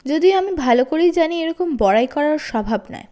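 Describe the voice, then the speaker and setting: Serious storybook dad, read by a woman in a high voice, polite, measured, fair. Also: animated, mellow. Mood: grave, regretful. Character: grave, calm, fair-minded father on a school board.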